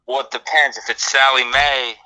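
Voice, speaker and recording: A man's voice: medium pitch, steady tone, normal speed, bright, forceful tone, smooth, dry recording, low-quality recording. A man co-hosting a live credit podcast, explaining answers to listener questions at length and restarting his words often. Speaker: credit repair co-host.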